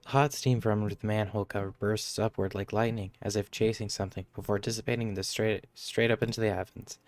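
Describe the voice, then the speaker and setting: Male streamer, deep-pitched, casual and offhand gameplay commentary in short mumbled reactions. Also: fairly even intonation, fast, dry recording. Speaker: game streamer.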